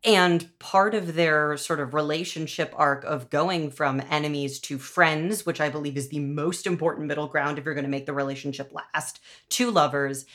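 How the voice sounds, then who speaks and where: A woman speaking casually and with opinions as a podcast interviewer and showrunner, in an animated, conversational way. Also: low-pitched.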